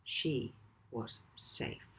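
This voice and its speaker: A woman reading a children's novel aloud in a measured, theatrical way with deliberate emphasis. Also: a bit bright, slight background noise. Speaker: author reading own novel. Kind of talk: dramatic audiobook narration of a children's story.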